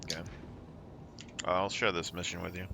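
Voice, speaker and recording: Very low-voiced man chatting over a game. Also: little pitch movement, moderate pace, vocal fry. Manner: easygoing, rambling with fillers. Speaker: streamer. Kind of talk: casual gaming chat. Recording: noisy game audio behind. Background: game sounds and ship ambience.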